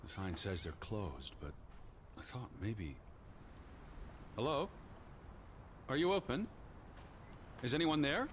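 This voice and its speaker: An actor playing an earnest, cautious, bookish American scholar in a 1920s-set video game, speaking properly and with measured care. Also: male voice, mid-range voice, wildly swooping pitch. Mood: hesitant, then calling out. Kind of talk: naturalistic video game voice acting. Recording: clear background ambience noise.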